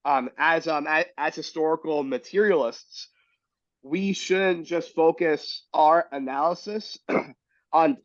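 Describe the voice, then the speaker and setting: A man with a raspy voice from being sick, stumbling and restarting as he reads aloud and discusses. His pitch sits a little high. The audio is dry and dull. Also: dry recording. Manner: halting, many restarts. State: sick, coughing. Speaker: reading group member. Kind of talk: casual discussion and reading aloud.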